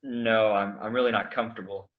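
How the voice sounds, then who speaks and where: A man's voice: fairly low pitch, fairly even intonation, fairly quick, phone-quality sound, lightly acted. An actor playing a weary, brooding, sardonic man in a staged play reading. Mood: exasperated.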